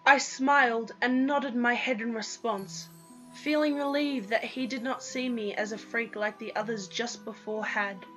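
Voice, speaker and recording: A woman's voice: a little high, fairly even intonation, unhurried, pushed and bright. A young woman giving a dramatic first-person reading of a story, on a lo-fi recording with music behind. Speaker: reader of own story.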